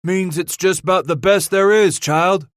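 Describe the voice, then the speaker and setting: A man reading aloud, voicing a gruff, grizzled male fur trapper with a frontier drawl. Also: sits high, steady tone, measured pace, no echo, clean studio sound, voice pushed up. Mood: rowdy.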